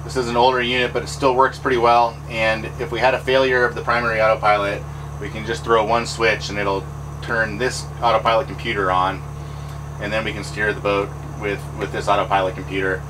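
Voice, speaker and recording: Boat owner, male, lowish voice, easygoing explainer over steady engine noise. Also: brisk. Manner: relaxed, practical, with fillers. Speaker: boat owner giving a tour. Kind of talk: casual explanatory walkthrough. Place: pilot house of a trawler yacht underway.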